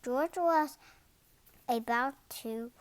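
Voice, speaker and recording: Children's read-aloud narrator: youthful, light high pitch, very unhurried, deliberate phrasing. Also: clean tone, dry recording. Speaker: storybook reader.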